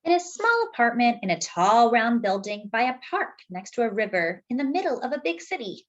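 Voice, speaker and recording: A woman reading a picture book aloud to young children as a storytime host, expressive and speaking directly to her listeners. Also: on the high side, brisk, muffled recording.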